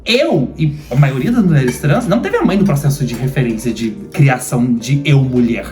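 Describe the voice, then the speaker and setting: A woman's voice: extremely low pitch, animated, moderate pace, mellow. A thirty-year-old Brazilian woman in casual chat, frank and opinionated in the way she talks. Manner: opinionated, frank, self-described grumpy. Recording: roomy echo, faint music.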